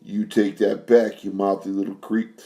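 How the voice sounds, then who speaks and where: A man's voice: fairly low pitch, level tone, moderate pace, warm tone, lightly acted. A man voicing a blustering, huge band leader. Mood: angry. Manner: blustering, threatening. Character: huge, hot-tempered male band leader.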